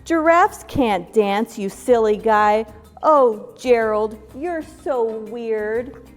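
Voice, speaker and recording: A brash storybook jungle animal, put on by a woman, calls out with exaggerated, excitable delivery. The voice is high, with music behind it and long reverb. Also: extremely slow. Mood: sneering.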